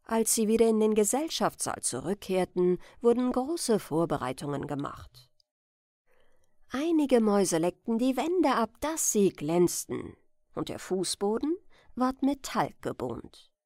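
A woman's voice: medium pitch, big pitch swings, a little slow, a little mellow, dry recording, clean studio sound. A woman narrating a bedtime fairy tale as storyteller, reading expressively and with care.

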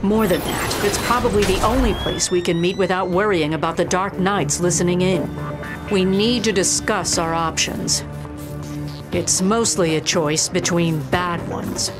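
An actress playing a tough, pragmatic winged warrior heroine, blunt and serious. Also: female voice, on the low side, a little slow, music in the background, natural voice. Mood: grim. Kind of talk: video game hero acting.

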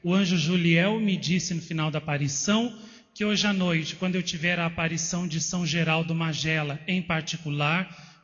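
A Brazilian man in his thirties giving a slow, earnest devotional talk to pilgrims in a shrine chapel, pausing on "eh" and "né". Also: on the high side, fairly bright tone. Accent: Brazilian Portuguese. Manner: slow, earnest, fills pauses with "eh" and "né". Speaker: visionary addressing pilgrims. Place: indoor shrine chapel with statues. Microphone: handheld microphone. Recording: reverberant, dull lo-fi video sound.

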